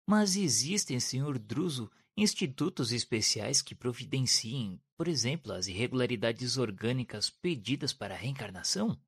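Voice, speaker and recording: A man's voice: medium pitch, lively intonation, normal speed, few pauses, husky, dry recording. An actor playing a respectful male spirit disciple who asks his teacher earnest questions. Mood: earnest questioning. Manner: respectful, inquisitive. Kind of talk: radio drama acting.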